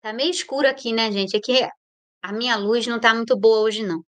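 A woman with a Brazilian Portuguese accent hosts a home livestream, greeting her viewers one by one in a chatty, playful way. Her voice sits high and sounds bright, and it is picked up by a simple computer mic. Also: steady tone, fairly quick. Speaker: livestream host. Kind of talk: casual live chat greeting viewers.

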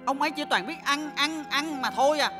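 A woman's voice: sits high, fairly quick, lightly acted. A woman voicing a lonely, sulky young dwarf boy prince in a children's cartoon, plaintive in manner. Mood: hurt, sulky. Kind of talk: dubbed children's cartoon acting. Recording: hall reverb, music behind.

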